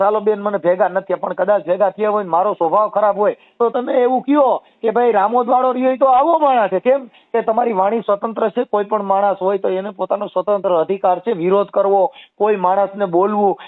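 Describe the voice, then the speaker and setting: A man's voice: steady tone, brisk, hardly any pauses. A man with a Kathiawadi Gujarati accent argues insistently and explains his points over a phone call. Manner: insistent, explanatory, addresses listener as sister. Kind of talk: argumentative phone conversation. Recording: muffled low-fidelity phone call recording.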